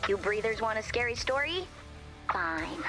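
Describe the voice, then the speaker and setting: Sardonic, high-voiced spooky girl. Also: big pitch swings, unhurried, music in the background. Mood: ominous. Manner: dry, teasing, sarcastic. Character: sardonic, blunt young woman, spooky undead game character.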